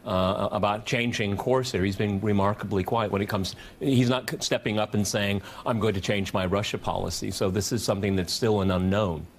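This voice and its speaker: A man's voice: lowish voice, fairly even intonation, a little fast, few pauses, slight background noise. A man hosting and moderating a television panel discussion from a studio. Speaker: talk show host.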